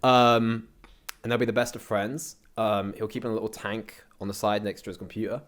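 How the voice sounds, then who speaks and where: A man's voice: on the low side, flat delivery, brisk, fairly bright tone. A young man chatting casually as an interview guest, rambling along in a slangy, jokey way. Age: young adult. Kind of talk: casual chat.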